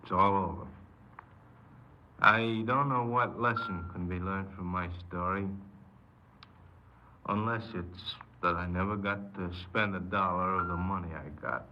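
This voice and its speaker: An actor playing a bitter, paranoid killer giving a halting confession in a 1950s radio crime drama. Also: male voice, deep voice. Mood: weary resignation. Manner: halting, brooding, resigned confessional delivery. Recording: dull, noisy 1950s radio recording.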